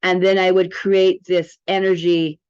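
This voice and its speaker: A woman's voice: fairly low pitch, flat delivery, measured pace, darkish tone, smooth. A woman giving a casual product tutorial as a presenter, chatting informally and rambling a little. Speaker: presenter demonstrating a wellness app. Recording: dull, lo-fi audio.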